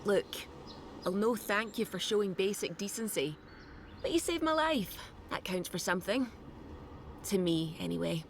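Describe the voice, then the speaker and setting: An actress playing a blunt, guarded smuggler in a fantasy video game, with a dry, sardonic way of talking. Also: female voice, mid-range voice, expressive, moderate pace, creaky, slight background noise. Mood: guarded, teasing. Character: wry, blunt smuggler woman with a soft heart.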